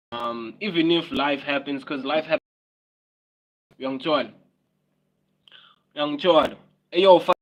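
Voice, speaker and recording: A man's voice: a little high, bright, forceful tone, poor audio. A South African man from South Africa hosting a casual livestream, musing aloud, repeating his phrases and dropping in Zulu words. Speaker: livestream host.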